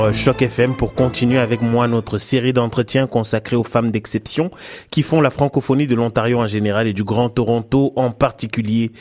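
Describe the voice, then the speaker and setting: A man's voice: mid-range voice, darkish tone. A man with an ordinary mid-range voice hosts a radio interview from the studio. He keeps stopping to restart his sentences and fills the gaps with hesitations. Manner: hesitant, many fillers and restarts. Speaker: radio host.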